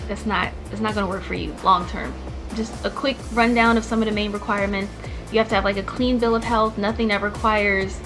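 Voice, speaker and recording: A young woman vlogging in a casual, chatty way, peppering her talk with fillers and restarts. Music plays clearly behind her voice. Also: medium pitch. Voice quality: chatty, many likes and restarts. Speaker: vlogger, former teacher. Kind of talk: casual chat to camera.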